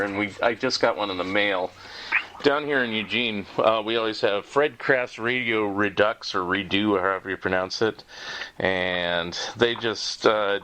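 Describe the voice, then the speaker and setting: A man's voice: fairly low pitch, pushed and bright, slight background noise. A man hosting a podcast interview in casual, conversational talk, given to chuckling as he speaks.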